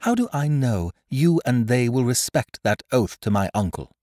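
A man's voice: low-pitched, lively intonation, measured pace, darkish tone, no echo, studio quality. A man voicing a spirited, earnest young woman who speaks with heat. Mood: indignant. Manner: earnest, heated.

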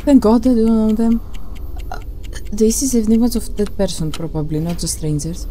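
A woman's voice: medium pitch, moderate pace, dark tone, a little hiss or hum. A woman giving casual playthrough commentary on a horror game, reacting aloud and talking to herself and the game. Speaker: gaming video host.

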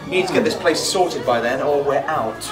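A man's voice: on the high side, normal speed, natural voice. A young man playing a selfish housemate, brusque and dismissive. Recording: roomy, distant, music and chatter behind.

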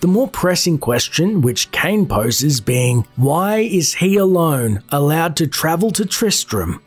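A man's voice: fairly high pitch, very animated, slow, husky, soft background music. A man narrating a scripted lore video in a dramatic style with theatrical emphasis.

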